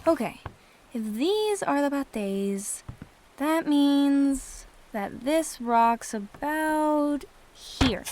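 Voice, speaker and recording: An actress playing a practical, quick-witted young girl adventurer in an audio drama, matter-of-fact and teasing. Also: high voice, expressive, noisy background, exaggerated voice. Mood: focused.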